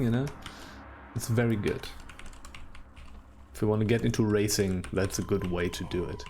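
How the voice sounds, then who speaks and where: A man's voice: medium pitch, darkish tone. A man with a German accent giving casual, rambling commentary while playing a video game, chatty and self-deprecating. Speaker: let's play host.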